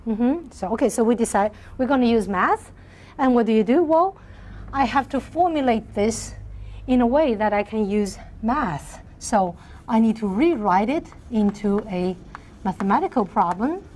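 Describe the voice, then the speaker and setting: A soft-spoken middle-aged woman lecturing on math in a large hall, often checking in with her class. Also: fairly high pitch, lively intonation, moderate pace, slight background noise. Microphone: lapel mic.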